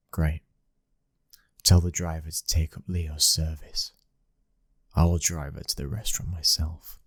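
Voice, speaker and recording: A British man playing a commanding young mafia heir in an intimate ASMR roleplay, speaking in a measured, breathy voice that often drops toward a whisper. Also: rumbling low, dry recording, studio quality. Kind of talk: ASMR boyfriend roleplay, scripted acting.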